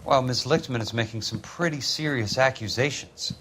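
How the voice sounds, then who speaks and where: A man's voice: mid-range voice, moderate pace, noisy background, close to an everyday voice. A man acting a dogged, tough but polite insurance investigator in a crime game, with an American accent and a terse, wry delivery. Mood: polite, insistent.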